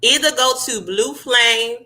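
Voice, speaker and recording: A young adult woman, hosting a casual live chat in a chatty, animated way and sounding congested. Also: a little high, a little slow. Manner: chatty, animated, laughs often. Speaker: host.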